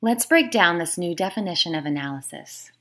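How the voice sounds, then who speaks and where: A woman author reading her nonfiction book aloud in a measured, explanatory way. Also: on the low side, expressive, a bit bright. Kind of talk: scripted read-aloud of nonfiction.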